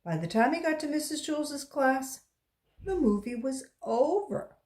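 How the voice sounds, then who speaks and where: A woman reading a children's story aloud as a volunteer, in a deliberate storytelling style. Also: high-pitched, animated, darkish tone.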